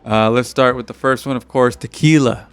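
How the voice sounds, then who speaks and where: A man's voice: mid-range voice, fairly even intonation, normal speed, smooth, dry recording, faint music behind. A man hosting a podcast in casual chat, friendly and appreciative toward his guests. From Santa Ana, California. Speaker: podcast host.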